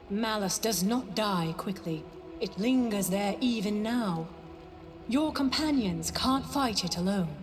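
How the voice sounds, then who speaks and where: A woman playing a wise, solemn female mentor in a game, speaking in a measured, prophetic way. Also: mid-range voice. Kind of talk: scripted game narration to the hero.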